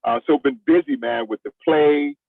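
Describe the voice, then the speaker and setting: A man's voice: on the high side, moderate pace, creaky, no echo, distant mic, narrow, tinny audio. A middle-aged American man chatting casually as an interview guest, in a colloquial, conversational way. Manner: colloquial, addresses listener as "man".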